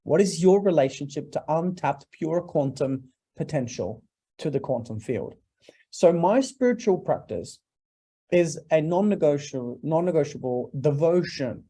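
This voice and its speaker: A man's voice: fairly high pitch, expressive, a little slow, dark tone, husky. A man in his forties from Norway, a guest in an interview, talking earnestly and preacherly in long emphatic lists. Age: 40s. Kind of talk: interview, motivational talk.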